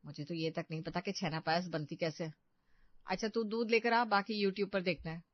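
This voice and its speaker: A woman voicing a sensible young daughter-in-law in a family story, anxious and gently scolding. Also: on the low side, fairly even intonation, moderate pace, no room sound, highs cut off, close to an everyday voice. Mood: chiding.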